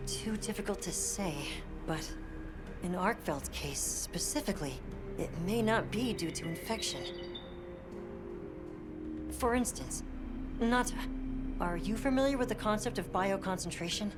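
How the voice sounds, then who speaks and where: Woman as measured, scholarly game researcher over music. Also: mid-range voice, close to an everyday voice. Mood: thoughtful explaining. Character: thoughtful, curious researcher explaining a theory. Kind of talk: dramatic video game cutscene acting. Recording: music clearly behind the voice.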